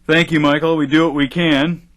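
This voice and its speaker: A man's voice: on the high side, level tone, normal speed, a bit bright, natural voice. A man playing a direct, ambitious internet radio owner in a satirical audio drama. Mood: pleased. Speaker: actor.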